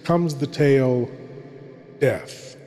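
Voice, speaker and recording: A man acts out a ghoulish lord of horror radio, intimate and courtly yet sinister. He speaks very slowly in grandiloquent phrases, with ominous pauses. His voice rings through a long reverberant hall. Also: medium pitch, mellow, soft background music. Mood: solemn, ominous. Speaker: horror show host. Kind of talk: theatrical scripted horror introduction.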